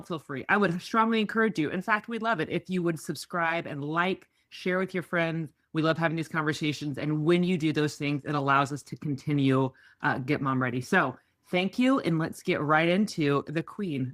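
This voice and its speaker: A woman's voice: low voice, moderate pace, dry recording, clean studio sound. A woman co-hosting a podcast, talking in an upbeat, rambling way and correcting herself mid-sentence. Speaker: podcast co-host. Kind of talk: casual podcast chat. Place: remote home studio.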